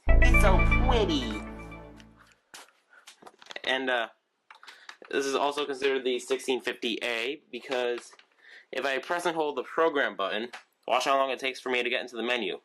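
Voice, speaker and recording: A man's voice: medium pitch, lively intonation, moderate pace, a bit bright, soft background music. A man casually showing his weather radio collection on video, rambling with lots of ums and restarts. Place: room at home with shelves of radios.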